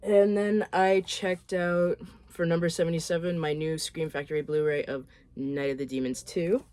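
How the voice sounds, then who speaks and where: A woman with a voice roughened by a cold, chatting frankly and loosely as she runs through a list of films. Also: low-pitched, fairly even intonation, moderate pace, a little mellow. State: hoarse from a cold. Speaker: horror film vlogger. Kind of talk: casual movie recap.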